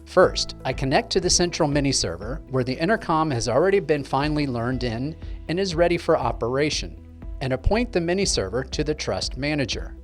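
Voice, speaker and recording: Animated tutorial presenter over music. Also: medium pitch, brisk. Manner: clear step-by-step instructional delivery. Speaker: presenter of a tech tutorial video series. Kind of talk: scripted tutorial narration. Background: background music.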